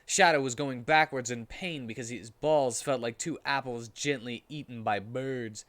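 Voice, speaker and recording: A man's voice: medium pitch, normal speed, a bit bright. A man reading a silly story aloud in a theatrical, mock-serious comic style.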